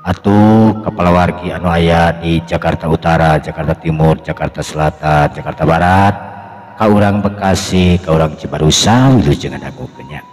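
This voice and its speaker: A man's voice: deep voice, normal speed, a little mellow. A man, hosting and telling a traditional folk story to his listeners. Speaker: storyteller and channel host. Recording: long echoing reverb, music behind. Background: music.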